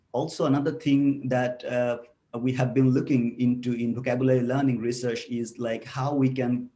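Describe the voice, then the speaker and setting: A man's voice: mid-range voice, dark tone. A young man from Bangladesh giving an academic webinar talk over a video call, hesitant with many filler sounds. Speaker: webinar presenter, researcher. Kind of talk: academic presentation. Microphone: computer mic on a video call.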